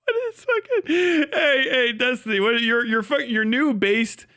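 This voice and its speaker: Man, high voice, livestream host, casual reaction commentary, heavy swearing, incredulous, mocking. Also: sing-song, unhurried, sharp tone, clear, resonant tone.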